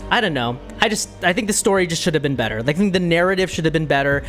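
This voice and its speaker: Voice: medium pitch, lively intonation, racing, over music. A game streamer chatting casually and thinking aloud while giving opinions to viewers in a conversational way.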